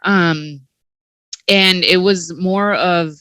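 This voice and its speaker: A woman answering reflectively as a panelist, often pausing on "um" and "right?". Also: on the low side, steady tone, smooth, no room sound. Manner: warm, reflective, many fillers.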